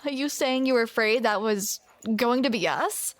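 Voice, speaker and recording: A woman's voice: fairly high pitch, moderate pace, dry recording. A woman performing a scripted romantic audio roleplay, with playful, teasing banter, close to the microphone.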